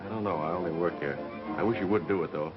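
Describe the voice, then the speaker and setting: An actor playing a harried radio announcer in a 1930s film acting style. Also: male voice, deep voice, quick pace, natural voice. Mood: grumbling. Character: harried radio announcer reading bombastic commercials and circus ballyhoo. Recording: muffled 1930s film soundtrack, loud music.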